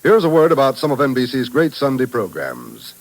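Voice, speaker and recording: A man's voice: mid-range voice, wildly swooping pitch, fairly quick, poor audio. An American man announcing in a polished, formal 1950s radio style, reading scripted copy on a vintage recording.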